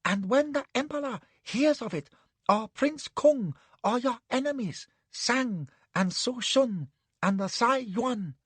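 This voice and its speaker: A man voicing a frantic, squealing man, a eunuch at a palace, high-pitched and near tears. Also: animated, slow pace, a bit bright, slightly rough, dry recording, exaggerated voice. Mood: outraged.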